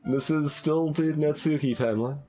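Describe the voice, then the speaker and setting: A man giving casual, rambling commentary as he plays a game, halting and thinking aloud as he speculates, with game music behind him. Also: fairly high pitch, warm tone, slight background noise. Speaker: streamer doing a blind voiced playthrough. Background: video game music.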